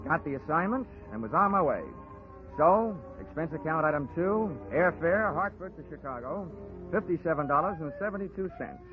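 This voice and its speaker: An actor playing a dry, wisecracking hard-boiled investigator in a 1940s radio drama. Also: male voice, on the high side, expressive, moderate pace, close to an everyday voice. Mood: brisk narrating. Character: wisecracking hard-boiled insurance investigator, narrating his case. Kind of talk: 1940s radio acting, narration and dialogue. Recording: tinny 1940s radio recording with music.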